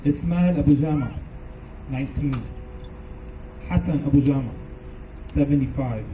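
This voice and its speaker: A man at a vigil solemnly reading out a list in a measured way, heard from a distance in a noisy, echoing recording. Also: medium pitch, mellow. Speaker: reader of victims' names at a vigil.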